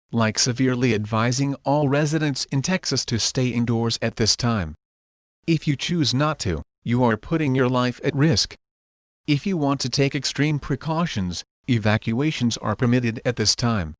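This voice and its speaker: A man's voice: medium pitch, no room sound. A man reading a scripted news bulletin as a broadcaster, with informal, casual asides. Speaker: news broadcaster in an animated emergency-alert story. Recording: music behind the voice. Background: prominent music.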